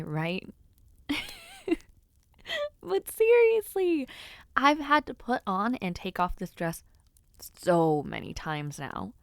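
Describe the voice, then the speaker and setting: Woman playing a slow-spoken, bashful young noblewoman. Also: medium pitch, gravelly, no room sound, exaggerated voice. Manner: playful, hesitant, with pauses and sighs. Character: teasing, bashful young noblewoman before her debut ceremony. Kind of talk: intimate audio roleplay, one-sided conversation.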